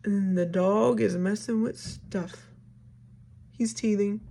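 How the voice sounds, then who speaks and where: A woman in her thirties with a raspy voice, chatting casually to viewers at home in a rambling, friendly way. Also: mid-range voice, fairly even intonation, takes it slowly, warm tone, a little hiss or hum. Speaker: vlogger. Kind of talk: casual get-ready-with-me chat.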